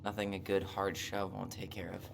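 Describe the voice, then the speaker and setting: Guarded young American man, gravelly. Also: low voice, flat delivery, normal speed. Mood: dry, wry. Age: young adult. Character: guarded, wry young man revisiting a painful childhood. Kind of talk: video game dialogue acting. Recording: faint noise, streamed game audio.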